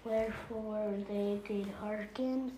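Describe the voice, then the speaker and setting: A woman slowly reading scripture aloud at home for her family, careful and halting, sometimes correcting herself. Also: medium pitch, fairly even intonation, noisy background.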